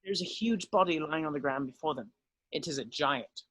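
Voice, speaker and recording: A man's voice: fairly high pitch, expressive, normal speed, fairly bright tone, dry recording. A man reading stage directions aloud as the narrator of a script read.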